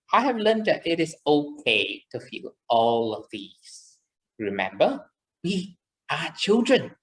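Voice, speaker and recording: A woman's voice: lowish voice, rough recording. A woman voicing a chirpy, caring child puppet in a children's show, with simple, encouraging explanations.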